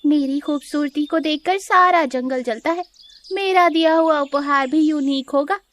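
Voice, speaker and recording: A woman's voice: high voice, measured pace, voice pushed up. A woman voicing a vain, sassy, boastful young female deer in a children's cartoon, with a taunting, dramatic manner. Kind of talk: Hindi children's cartoon voice acting.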